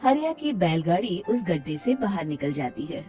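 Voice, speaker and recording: A woman narrating a scripted cartoon tale as an expressive storyteller. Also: lowish voice, normal speed, soft background music.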